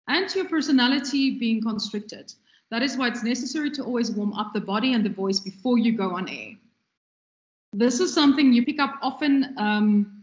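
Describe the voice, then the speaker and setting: A woman giving a measured, instructive lecture on radio presenting, with a trained, loud voice and frequent ums. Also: on the high side. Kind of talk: recorded lecture. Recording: echoey, dull lo-fi room recording.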